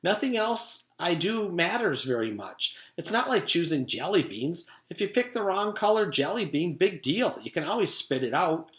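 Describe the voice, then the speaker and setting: Man voicing a fretful schoolboy, pitched high and swooping. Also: very animated, moderate pace, hardly any pauses, fairly bright tone. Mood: agonized, fretful. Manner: griping, muttering, repeating himself. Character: indecisive, earnest, fretful schoolboy. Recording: roomy echo.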